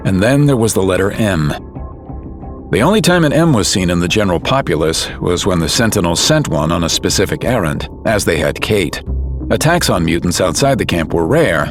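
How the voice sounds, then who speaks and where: A male narrator with a deep voice reads a scripted audio drama. His storytelling is serious and measured, and music runs clearly underneath.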